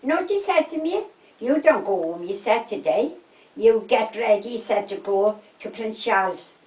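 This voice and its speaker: An elderly woman from the South Wales Valleys chats away in a strong storytelling flow, retelling who said what with emphasis. Her pitch is ordinary for a woman. She sounds set back from the microphone in an echoey room. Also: animated, moderate pace, a little mellow. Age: 80s. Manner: chatty, emphatic, repeats reported conversations with "I said". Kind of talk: casual chat, anecdotal storytelling. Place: indoors at a home. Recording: roomy, distant home recording.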